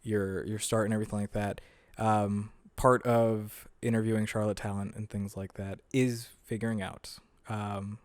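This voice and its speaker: A man's voice: on the low side, flat delivery, normal speed, darkish tone, no echo. A young man hosting a casual podcast, laid-back and swearing freely, on a studio mic. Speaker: podcast host.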